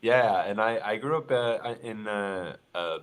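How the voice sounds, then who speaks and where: A young man from Newfoundland, a bassist guest, talking in an easygoing, halting way. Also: clear, resonant tone. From Newfoundland, Canada. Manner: easygoing, halting, many fillers. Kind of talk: casual interview chat.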